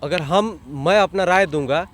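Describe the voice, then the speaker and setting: High-pitched male guest, Jharkhand accent, blunt and colloquial street commentary, rhetorical questions, faint traffic noise outdoors. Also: measured pace. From Jharkhand, India. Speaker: interview guest, online channel owner. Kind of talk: opinionated street interview. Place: outdoors in a town, near a road.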